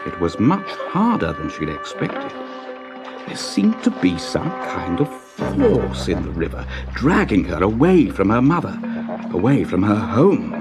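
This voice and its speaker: Male storyteller, lowish pitch, highly animated intonation, dramatic measured children's narration, music behind the voice.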